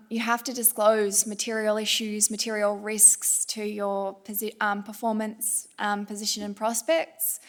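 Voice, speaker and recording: An Australian woman giving an explanatory panel presentation at a conference, pausing often as she speaks. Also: medium pitch. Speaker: panel speaker, director of a climate law non-profit.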